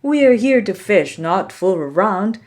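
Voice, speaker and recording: Woman voicing a scornful older brother, lively pitch. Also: measured pace, close to an everyday voice. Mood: annoyed scorn. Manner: scoffing, dismissive. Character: scornful, exasperated older brothers of a young trickster.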